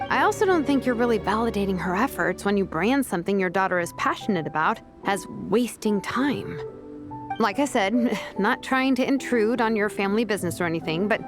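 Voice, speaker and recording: An actress playing a confident vice captain and teacher in a video game, speaking casually, diplomatically and persuasively. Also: female voice, medium pitch, close to an everyday voice. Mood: tactful, firm.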